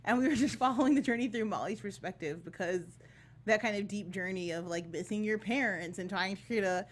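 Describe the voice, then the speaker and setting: Woman co-host with a medium-pitched voice. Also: a little fast, a little hiss or hum. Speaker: talk show co-host. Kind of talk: casual panel chat about a film.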